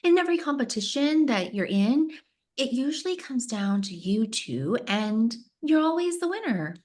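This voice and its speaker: Animated, frank woman played by an actress. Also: a little high, moderate pace. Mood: challenging. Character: questioning, frank woman, a losing pageant contestant. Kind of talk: screenplay table read acting.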